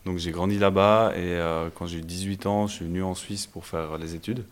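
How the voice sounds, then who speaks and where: Young dude, very low voice, chatty startup founder, lots of ums. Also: male voice, normal speed. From Belgium. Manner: relaxed, many hesitations and fillers. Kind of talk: casual interview answers. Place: startup incubator office.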